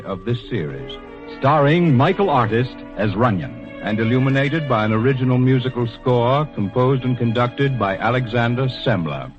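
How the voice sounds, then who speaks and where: 1940s male radio announcer over music. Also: medium pitch. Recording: dull vintage radio recording, music behind.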